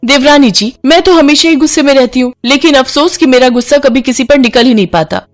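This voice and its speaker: Woman acting a young Indian daughter-in-law, raised pitch, whiny, exasperated, timid with elders, storybook drama. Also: fairly quick, close to an everyday voice.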